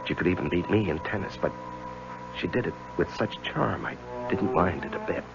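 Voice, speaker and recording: An actor playing a troubled middle-aged lawyer in a 1970s radio drama, speaking in a halting, confessional way. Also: male voice, sits low, animated, gravelly. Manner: halting, hesitant, stammering. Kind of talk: 1970s radio drama acting, narrating and in scenes. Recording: dull lo-fi 1970s radio, music underneath.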